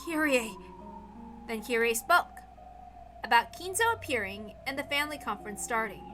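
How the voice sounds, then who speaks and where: A woman reading a visual novel aloud as a dramatic story narrator. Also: a little high. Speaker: amateur story reader. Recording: music behind the voice. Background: clear background music.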